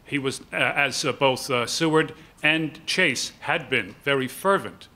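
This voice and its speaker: An older man moderates a panel discussion at a table microphone. His voice is bright and forceful at a middle pitch. He explains points in a measured, hesitant way. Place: lecture hall, at a panel table.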